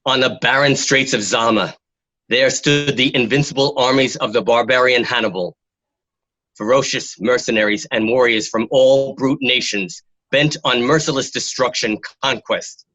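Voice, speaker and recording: A man's voice: mid-range voice, normal speed, bright, forceful tone. Man playing a grandiose Roman arena announcer, muffled sound. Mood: grandiose, proclaiming. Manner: grandiose, declamatory. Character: pompous male Roman arena announcer proclaiming battles. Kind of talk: amateur radio play acting. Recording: dull lo-fi home recording.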